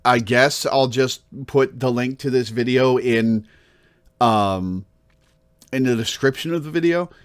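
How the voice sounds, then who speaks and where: A man's voice: medium pitch, a little slow, clean tone. A man hosting a livestream in a casual, rambling way, musing and thinking aloud as he goes. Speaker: livestream host. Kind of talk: casual rambling stream chat.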